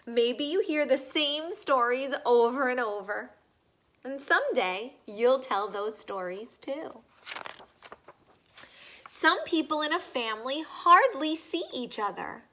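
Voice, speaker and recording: A woman reading a children's picture book aloud in a deliberate way, putting questions to young listeners. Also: sits high, bright, forceful tone. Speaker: read-aloud reader.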